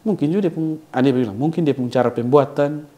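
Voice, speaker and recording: A man's voice: medium pitch, quick pace. A young man from Soe with a Timorese Soe accent, chatting casually and jokingly as an interview guest. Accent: Soe, Timor (Kupang Malay). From Soe, South Central Timor, Indonesia. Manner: relaxed, rambling, joking, regional slang.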